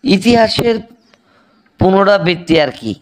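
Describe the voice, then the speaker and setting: A dry, teasing cartoon tutor answers with sarcasm, as a man plays him. His fairly high voice moves in lively arcs. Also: measured pace. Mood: wry. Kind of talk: cartoon comedy dubbing.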